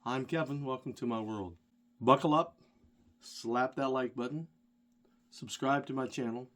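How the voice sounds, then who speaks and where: Middle-aged rideshare driver, stuffy from a cold, casual rambling storyteller with a self-deprecating streak. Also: male voice, medium pitch, measured pace, fairly bright tone. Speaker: rideshare driver and channel host.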